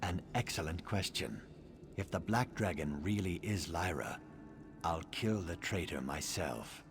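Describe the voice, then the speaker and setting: An actor playing a grim, vengeful assassin in a fantasy game, speaking in a measured, menacing, formal way. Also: male voice, low-pitched, expressive, over music. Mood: sardonic, grim. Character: grim vengeful male assassin of a dark brotherhood. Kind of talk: scripted fantasy game dialogue.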